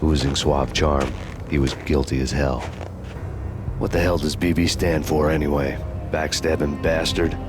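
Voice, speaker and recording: Very deep, brooding noir cop. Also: male voice, unhurried, over music. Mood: bitter, sardonic. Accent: American. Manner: deadpan, brooding, sardonic. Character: haunted, sleepless, bitter noir fugitive cop. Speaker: narrator and protagonist. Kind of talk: hard-boiled noir game narration.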